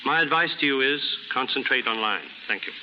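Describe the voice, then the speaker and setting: A man's voice: medium pitch, brisk. A man giving a formal, scripted guest talk on a 1930s radio show, heard on a muffled, noisy old recording. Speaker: guest costume stylist.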